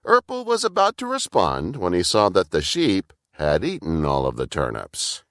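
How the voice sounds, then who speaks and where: A man's voice: low-pitched, wildly swooping pitch, moderate pace, a bit bright, clear, resonant tone. A man narrating a scripted children's story as a storyteller.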